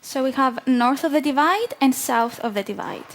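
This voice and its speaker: A woman in her twenties from southern Cyprus, a native Greek speaker, explaining clearly in a prepared conference talk on a headset mic in a large hall. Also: high-pitched, lively intonation, normal speed. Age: 20s.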